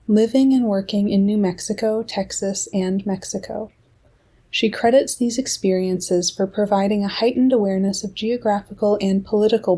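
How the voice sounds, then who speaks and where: A woman with a mellow, warm voice reads a guest introduction for her podcast. Her delivery is measured and steady, clearly from a script. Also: medium pitch. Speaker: podcast host.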